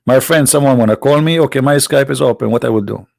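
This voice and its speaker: Man, host, slight cold, mocking debate talk at middle pitch, dry close sound. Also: fast, creaky, no echo. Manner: mocking, rhetorical, swings between loud emphasis and low asides. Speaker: host of a religious debate channel. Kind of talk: polemical livestream talk.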